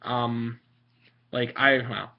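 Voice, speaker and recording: A man's voice: fairly even intonation, drawn-out words, vocal fry. A young man from Italy talking casually and sarcastically about game strategy, rambling with fillers. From Italian. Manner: sarcastic, mocking, frequent fillers. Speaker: vlogger. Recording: muffled low-fi home recording.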